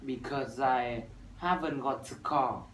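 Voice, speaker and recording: A man's voice: mid-range voice, fairly bright tone, a little hiss or hum. Vietnamese guy, 21, rehearsed english w/ uhs, far from mic in echoey room. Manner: measured, rehearsed delivery with "uh" fillers. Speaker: university student. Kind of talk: scripted classroom dialogue practice.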